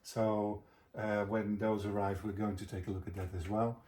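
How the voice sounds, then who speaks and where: A middle-aged man chatting casually as a video host reviewing gear, with a hesitant, halting delivery. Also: lowish voice, little pitch movement, mellow, slight background noise.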